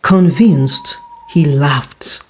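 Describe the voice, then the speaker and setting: A storyteller narrating a folktale in a dramatic, deliberate way with frequent pauses. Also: medium pitch.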